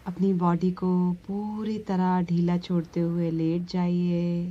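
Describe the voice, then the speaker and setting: Slow, low-voiced woman guiding meditation. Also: little pitch movement, lo-fi sound. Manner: soothing, gently instructing, long pauses. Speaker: yoga nidra meditation instructor. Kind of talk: slow guided relaxation meditation.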